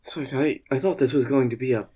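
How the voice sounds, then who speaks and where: A man's voice: medium pitch, fast talker, a little mellow. A man co-hosting a comedy podcast, chatting casually and reacting to his partner in short remarks.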